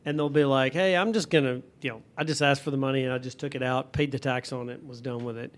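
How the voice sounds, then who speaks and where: A man's voice: medium pitch, fairly even intonation, fast talker. A man hosting a radio talk show on finance, steering the chat with questions and recaps. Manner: conversational, guides the talk with questions.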